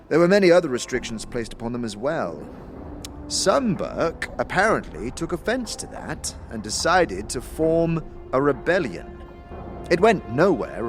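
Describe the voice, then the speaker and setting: A man playing a theatrical, shady street mystic. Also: medium pitch. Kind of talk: fantasy audio drama acting.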